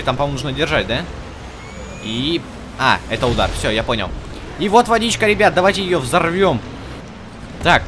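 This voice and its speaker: A man with a slightly high voice gives casual let's-play commentary. He talks in an excitable, slangy way, coaxing and urging characters on over game music. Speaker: gaming channel host. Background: game music and effects.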